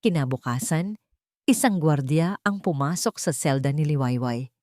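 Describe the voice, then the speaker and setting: Low-pitched Filipino woman narrating dramatically. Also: animated, moderate pace, mellow, no room sound, clean studio sound. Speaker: story narrator. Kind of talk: dramatic scripted story narration.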